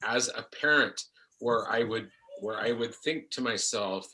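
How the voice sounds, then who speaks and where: A middle-aged man speaking reflectively about personal experience, haltingly, repeating words as he searches for them. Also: mid-range voice, sharp tone, low-quality recording. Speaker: actor on a discussion panel, speaking as a parent.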